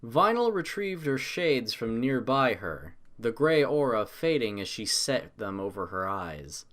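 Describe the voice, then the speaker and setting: A story narrator with a very animated delivery, reading scripted prose. The intonation rises and falls widely from phrase to phrase. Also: mid-range voice, a little slow.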